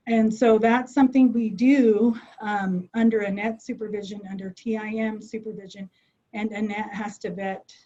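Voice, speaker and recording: A woman gives an earnest webinar presentation over a video call. Her voice is mellow and a little husky, and she leans on frequent "um" fillers as she works through her points. The call audio is dull and muffled. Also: medium pitch. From United States. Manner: earnest, many "um" fillers, thinks aloud. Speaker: behavioral health clinician presenting.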